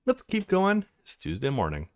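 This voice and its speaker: A man's voice: mid-range voice, wildly swooping pitch, normal speed. A man hosting a let's play, giving casual commentary on a visual novel game.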